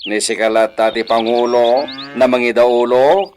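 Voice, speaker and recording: An actor with an Ilocano accent playing an authoritative, measured hacienda master in a radio drama. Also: male voice, mid-range voice, steady tone, a little mellow, slight background noise, close to an everyday voice. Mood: lordly, reassuring. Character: authoritative male hacienda master peppering speech with Spanish.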